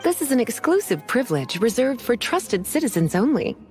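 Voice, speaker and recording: A woman's voice: on the high side, big pitch swings, normal speed, a little mellow. A woman playing a poised, languid librarian mage in a video game, formal in wording, teasing and faintly menacing. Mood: coy, composed. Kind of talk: video game character acting. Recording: music behind the voice.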